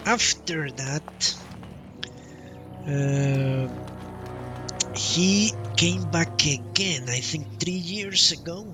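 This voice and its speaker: Slow, higher-voiced man rambling over game music. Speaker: game livestreamer. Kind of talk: casual rambling storytelling while playing a game. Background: video game music.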